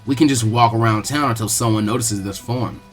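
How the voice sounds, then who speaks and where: A man voicing a cold, stoic, calculating ninja boy with a dry deadpan delivery in a story reading. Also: mid-range voice, brisk, faint music behind, voice pushed down, natural voice. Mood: cold threat.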